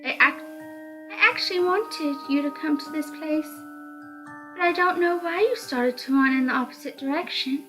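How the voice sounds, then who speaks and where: A woman's voice: high voice, moderate pace, over music, pitched above the performer's own voice, lightly acted, poor audio. A woman voicing a sweet but eerily intense young girl from a visual novel. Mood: sweet, earnest. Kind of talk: visual novel character reading.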